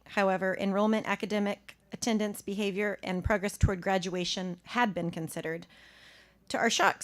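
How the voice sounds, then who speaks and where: A woman reading a measured, pointed prepared statement at a podium microphone in a school board meeting room. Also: mid-range voice. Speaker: public commenter.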